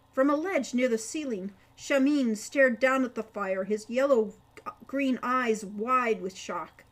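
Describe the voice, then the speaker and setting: A woman reads a story aloud in a fairly high-pitched voice. Her delivery is that of a dramatic storyteller working from a script. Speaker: author reading own audiobook chapter.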